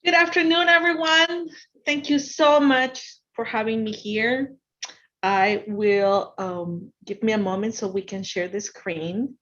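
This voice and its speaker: A woman with an Ecuadorian Spanish accent and a fairly high voice presents to students over a video call. A native Spanish speaker, she is encouraging and repeats phrases for emphasis. The sound is somewhat dull and lo-fi. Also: slow, fairly bright tone. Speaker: guest speaker, financial consultant.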